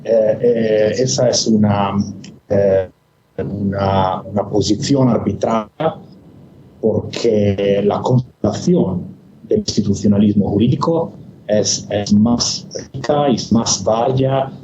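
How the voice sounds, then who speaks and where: A man lecturing in a language that is not his native one, pausing often on fillers and restarts over a video call. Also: fairly low pitch, dark tone. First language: not Spanish. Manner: hesitant, many fillers and restarts. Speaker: guest speaker. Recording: echoey, muffled, noisy video call line.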